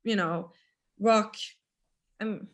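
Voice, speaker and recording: A young woman with a naturally raspy voice talks as a guest in a casual interview. She hesitates, restarts and fills gaps with "like" and "you know". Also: mid-range voice, steady tone, extremely slow. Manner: casual, hesitant, laughs often. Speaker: band vocalist, interview guest.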